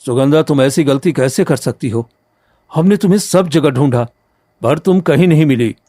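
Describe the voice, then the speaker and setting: A man's voice: on the high side, lively intonation, moderate pace, dark tone, no echo. Man playing an earnest poor farmer, dry sound. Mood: reproachful. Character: honest poor farmer, earnest protective older brother. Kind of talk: Hindi cartoon story acting.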